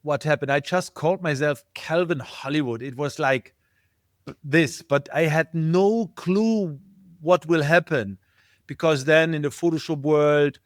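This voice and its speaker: A man's voice: a little high, measured pace, no room sound, studio quality. A middle-aged man with a German accent, a guest in an interview, thinking aloud and searching for his words. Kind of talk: casual interview talk.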